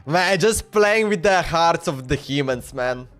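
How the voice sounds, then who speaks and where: A man's voice: on the high side, lively intonation, unhurried, fairly bright tone, faint music behind. A man streaming a game with casual, playful live commentary, often repeating his phrases.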